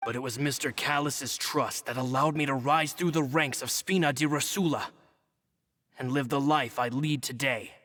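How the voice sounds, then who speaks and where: A man's voice: mid-range voice, a bit bright, lightly acted. A man playing a loyal, respectful gang henchman in a video game, earnest and deferential. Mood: loyal, solemn. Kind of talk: scripted video game voice acting.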